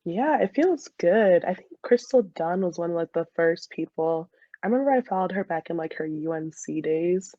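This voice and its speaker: A woman's voice: lowish voice, animated, moderate pace, dark tone, no echo. Young woman chatting casually, slightly dull audio. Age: young adult. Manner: heavy use of "like" as she talks. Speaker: soccer player interviewed as guest. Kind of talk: casual interview chat.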